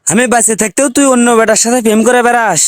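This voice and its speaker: A man's voice: very high-pitched, rapid-fire. A man voicing an exasperated, pleading village husband in a comic cartoon, with a North Bengal accent, complaining in questions. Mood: furious. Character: exasperated, pleading village husband who turns on his wife. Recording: clearly noisy recording with clicks.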